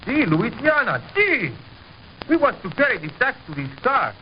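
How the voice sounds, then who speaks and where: High, animated Mexican smuggler. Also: male voice, normal speed. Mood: evasive, aggrieved. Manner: broken English, excitable. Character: Mexican smugglers, evasive, tough, broken English. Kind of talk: old-time radio drama acting. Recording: muffled, noisy old radio recording.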